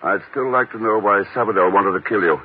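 On a narrow-band vintage radio recording, an actor plays a cool secret agent. The man's voice is fairly low and his talk is clipped and controlled. He sounds shrewd and used to command. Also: fast, slight background noise, close to an everyday voice. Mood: suspicious, terse. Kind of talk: 1950s radio drama acting. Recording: tinny old radio recording, music behind.

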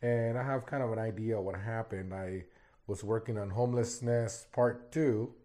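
A man's voice: lowish voice, steady tone, normal speed, warm tone. A man hosting a casual solo podcast, talking in a rambling way with repeated words, his voice still recovering after he lost it. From Arizona, United States. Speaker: podcast host.

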